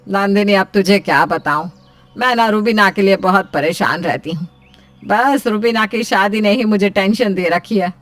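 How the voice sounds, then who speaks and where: A woman's voice: mid-range voice, moderate pace, close to an everyday voice. A woman playing an anxious, scolding middle-aged mother in story dialogue, fretful and complaining. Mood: worried.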